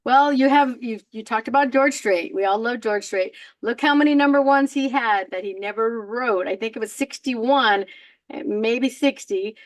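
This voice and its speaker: A woman in her sixties interviewing a singer, chatty and quick to laugh. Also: a little high, rough recording. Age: 60s. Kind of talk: friendly interview.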